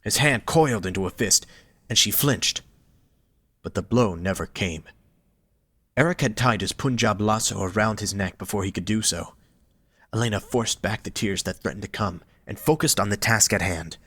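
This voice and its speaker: A man narrates a scripted story for an audio drama. His voice is on the low side, and the sound is dry with very little room echo. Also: normal speed, fairly bright tone, husky.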